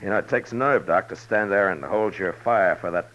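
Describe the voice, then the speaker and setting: Man acting a deep-voiced, stoic Western marshal. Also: normal speed, close to an everyday voice. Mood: determined. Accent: American. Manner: terse, stoic, understated. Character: tough, steady frontier marshal, lawman in middle age. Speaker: radio actor. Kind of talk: 1950s radio western acting. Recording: muffled, hissy old radio recording.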